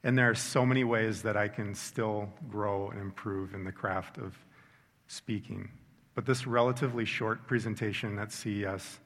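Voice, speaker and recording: A middle-aged man giving a sincere personal faith testimony, measured and reflective, slowing down for emphasis. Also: lowish voice, a bit bright. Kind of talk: earnest reflective testimony talk. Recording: long hall reverb.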